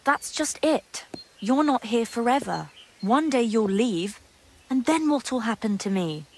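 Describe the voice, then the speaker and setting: A woman's voice: fairly high pitch, expressive, unhurried. A woman playing a gossipy, guarded young monastery maid in a medieval video game drama, secretive and earthy in her talk. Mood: frightened, hushed. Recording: clear background ambient noise.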